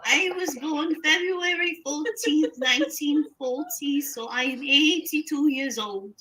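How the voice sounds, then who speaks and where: A high, bright voice of a woman putting on a chatty 82-year-old retiree. She rambles slowly through every answer. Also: slight background noise, rough recording. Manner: slow, chatty, digressive, folksy. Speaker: scam baiter. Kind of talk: playing a fake victim on a phone call.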